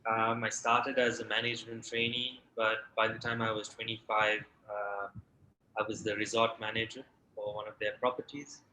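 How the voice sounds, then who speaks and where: Man, late 20s-30s, from Sri Lanka, low bright voice, webinar guest on an echoey call. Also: normal speed, slight background noise. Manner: relaxed, many fillers and restarts. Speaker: restaurant founder and CEO. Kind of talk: webinar interview answers. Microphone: video call. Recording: echoey room with faint ambience.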